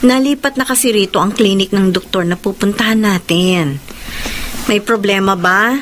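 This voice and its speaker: A woman acting as an attentive mistress in a radio drama, reassuring her man at a medium pitch. Her accent is Filipino and her delivery is dramatic. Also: normal speed, background noise, close to an everyday voice. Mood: concerned, soothing.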